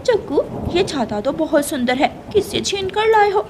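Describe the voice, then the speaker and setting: A woman's voice: extremely high pitch, big pitch swings, normal speed, background noise, pitched above the performer's own voice. A woman voicing a bossy, threatening mother crow with a curt, scolding manner in a children's cartoon. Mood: gloating. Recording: loud rain and thunder behind.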